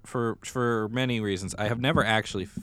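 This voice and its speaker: A man with a low voice talks as a podcast co-host in a casual, conversational way. He tends to repeat phrases to make a point. The sound is dry, with very little room on it. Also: fairly even intonation, a little fast, fairly bright tone, clean tone, dry recording. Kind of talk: casual chat about musical theater and pop culture.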